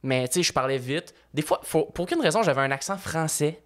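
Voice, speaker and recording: A man's voice: fairly high pitch, fairly quick, fairly bright tone. A young adult man with a Québécois French accent, hosting a podcast and telling stories in an easygoing, informal way. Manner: easygoing storyteller, informal slang. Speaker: podcast host. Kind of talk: casual podcast chat.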